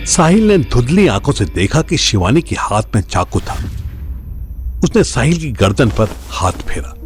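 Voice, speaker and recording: A man's voice: medium pitch, very animated, moderate pace, faint music behind. A man narrating a scripted audio story in a dramatic style.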